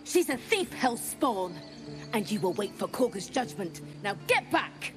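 A woman's voice: mid-range voice, big pitch swings, a little slow, over music. A woman voicing a curt, hostile gate guard in a video game, barking orders.

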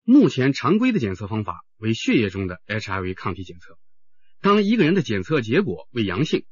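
An actor in a radio drama playing a serious doctor who explains things methodically. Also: male voice, mid-range voice, huge pitch swings, slightly rough, no room sound, highs cut off. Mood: explanatory. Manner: explanatory, measured.

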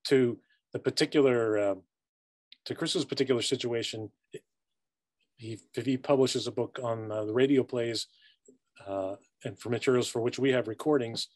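A middle-aged man giving a careful, halting answer as a panelist on a video call from his office. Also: mid-range voice, a little mellow, no room sound. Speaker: panelist from a university media collections service. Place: office with bookshelves, indoors. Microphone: video call computer mic.

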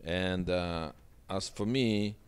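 Man, middle-aged, English, very low voice, emphatic, repeats himself, handheld mic. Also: slow, clean tone. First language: Russian. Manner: earnest, emphatic, repeats phrases. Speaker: boxing manager. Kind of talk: sit-down interview. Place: indoor studio set with sofa.

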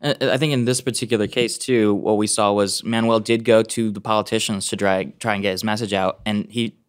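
A man's voice: lowish voice, fairly even intonation, brisk. A man in his thirties, a guest on a podcast, telling stories casually with self-deprecating laughter. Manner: self-deprecating, giggly storyteller. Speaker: field producer, podcast guest. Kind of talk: casual chat. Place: small podcast studio. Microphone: large studio mic on a stand.

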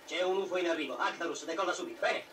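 Stern, echoey scientist commander. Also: male voice, high-pitched, steady tone, very fast, a bit bright. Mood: grave, commanding. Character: stern fatherly scientist commander, grave and authoritative. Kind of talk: dubbed 1970s anime acting. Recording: old film soundtrack, echoey, distant, with music. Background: music.

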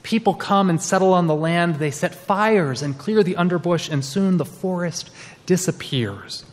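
A man's voice: high-pitched, moderate pace, in a large hall, voice pushed up, lightly acted. A man voicing squawking tropical birds in a storybook read-aloud. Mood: urgent.

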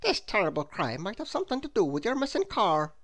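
A woman's voice: mid-range voice, big pitch swings, quick pace, voice pushed up, natural voice. Woman playing a firm, helpful storybook policeman. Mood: reassuring. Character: steady, reassuring, helpful policeman.

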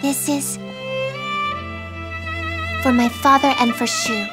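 Game role: earnest young warrior woman, high voice, very slow, music underneath. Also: fairly even intonation. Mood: gentle resolve. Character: devoted young female warrior, earnest and brave. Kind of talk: dramatic video game cutscene acting.

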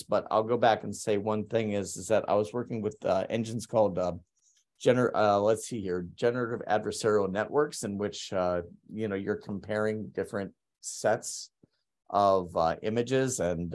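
Guy with a lowish voice talking over his slides on a video call, lots of ums and restarts. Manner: halting, many ums and restarts. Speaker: artist presenting his work. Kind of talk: conference talk over slides. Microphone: webcam on a video call.

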